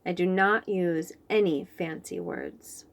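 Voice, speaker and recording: A woman reads a picture book aloud to young children. Her pitch rises and falls a great deal, her pace is slow, and her storytelling is expressive. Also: creaky. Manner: expressive, animated.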